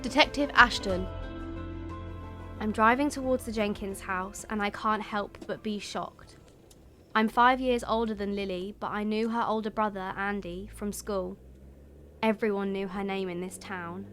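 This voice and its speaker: Woman acting young detective, mid-pitched voice. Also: steady tone, unhurried, sharp tone, soft background music. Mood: puzzled, pondering. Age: young adult. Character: young female police detective, puzzled and analytical. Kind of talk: first-person audio drama monologue.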